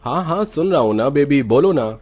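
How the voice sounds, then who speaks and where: A man's voice: on the high side, animated, normal speed, darkish tone, soft background music. A man voicing an easygoing young food-loving boyfriend in a cartoon story, casual and breezy.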